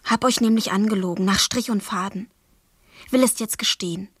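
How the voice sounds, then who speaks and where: An actress playing a passionate, impetuous thirteen-year-old girl in a poetic radio play, breathy, whispery and intense. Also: medium pitch, normal speed, no echo, clean studio sound, natural voice. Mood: hurt, confessing. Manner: intense, intimate, yearning.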